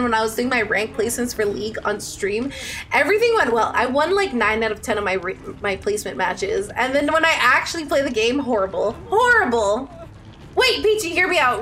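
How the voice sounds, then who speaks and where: A young woman chatting playfully on a game livestream, reading out viewer messages with dramatic, gushing affection. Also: sits high. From Ontario, Canada. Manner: animated and playful, with dramatic outbursts and gushing endearments. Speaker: live streamer. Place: indoor room at a streaming setup.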